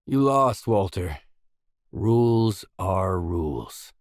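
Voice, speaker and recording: A low dry game merc, terse n wary, real dry close studio sound. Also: male voice, lively intonation, slow pace, dry recording, heavily acted. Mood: confrontational. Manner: terse, dry, matter-of-fact. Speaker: video game protagonist. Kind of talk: scripted game voice lines.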